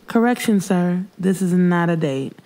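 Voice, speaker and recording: A woman's voice: lowish voice, animated, a little slow, dark tone. A woman voicing a guarded, sassy young woman who talks back hesitantly in an urban fiction audiobook. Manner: hesitant, skeptical, talking back.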